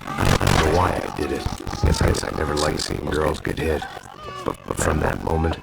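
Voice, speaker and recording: Middle-aged American male ex-cop, game noir: rumbling low register, rough fry, sardonic and worn down, noisy backdrop. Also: level tone, normal speed, full character voice. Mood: brooding, resigned. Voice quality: gravelly. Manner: dry, sardonic. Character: world-weary, cynical middle-aged ex-cop, brooding drinker. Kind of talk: brooding noir narration and dialogue. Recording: game soundtrack with loud background noise.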